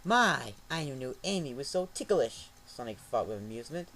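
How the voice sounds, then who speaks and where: A man's voice: medium pitch, sing-song, moderate pace. Man doing a joking dramatic reading. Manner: ironic asides, laughing, shouted outbursts. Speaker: reader. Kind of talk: mocking amateur dramatic reading.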